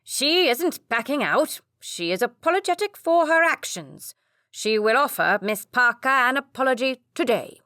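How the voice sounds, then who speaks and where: A woman's voice: high voice, lively intonation, moderate pace, sharp tone, no room sound, studio quality, voice pushed up. A woman voicing a greedy, smug older nobleman who threatens with amusement, in a storybook reading.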